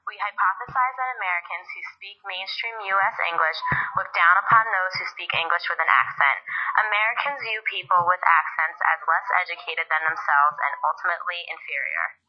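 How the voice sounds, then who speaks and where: A woman's voice: fairly low pitch, a little fast, breathy edge, faint music behind. A young woman reading a student project script aloud in an earnest way. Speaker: student presenter. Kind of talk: read-aloud class project narration. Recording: dull lo-fi recording.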